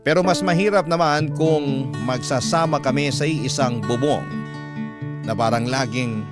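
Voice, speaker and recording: Male Filipino radio storyteller, mid-pitched, dramatic letter narration over loud guitar music. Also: a little slow. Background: prominent guitar music.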